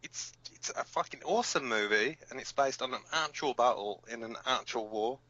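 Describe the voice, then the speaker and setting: A man's voice: mid-range voice, moderate pace, bright, forceful tone, dry recording, lo-fi sound. A man playing a hot-headed, protective resistance fighter who hosts a rebel radio broadcast in an audio drama, blunt, emphatic and given to swearing. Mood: indignant, heated.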